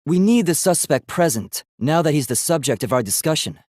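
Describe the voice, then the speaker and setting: A man's voice: fairly high pitch, animated, fairly quick, a little mellow, dry recording, studio quality. A man voicing an earnest, logical young male robot student in a video game, speaking in a formal, precise, analytical way. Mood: earnest, serious. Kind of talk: English video game voice acting.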